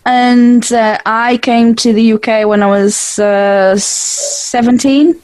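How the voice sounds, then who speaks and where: A woman's voice: fairly high pitch, fairly even intonation, slow, a little mellow, dry recording, rough recording. A woman in her thirties from Portugal with a Portuguese accent tinged by Yorkshire, hosting a casual podcast chat, talking chattily with frequent laughs and restarts. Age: 30s. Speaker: podcast host. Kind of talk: casual conversational interview.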